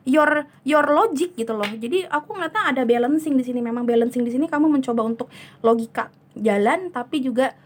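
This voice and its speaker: Hoarse high-voiced woman reading tarot. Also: fairly quick, slight background noise. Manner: soothing, chatty, many fillers. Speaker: tarot reader. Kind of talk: casual tarot reading, mixing in English.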